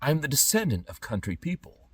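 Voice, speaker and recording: A middle-aged man speaking an unscripted podcast monologue in emphatic, halting phrases with pointed pauses. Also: mid-range voice, wildly swooping pitch, breathy edge, no echo, recorded from a distance. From born in Trinidad and Tobago, lived in California. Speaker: podcast host.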